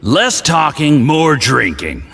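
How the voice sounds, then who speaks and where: An actor playing a sly, smug man in a video game, teasing and deliberate in his delivery. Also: medium pitch, vocal fry, bright, forceful tone, exaggerated voice. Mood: mocking, amused. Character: sly, mocking man goading a drinking companion. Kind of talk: video game character acting. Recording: echoey hall, light background noise.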